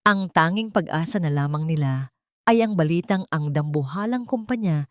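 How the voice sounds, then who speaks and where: Low-voiced woman narrating a dramatic story. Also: expressive, moderate pace, no echo, studio quality.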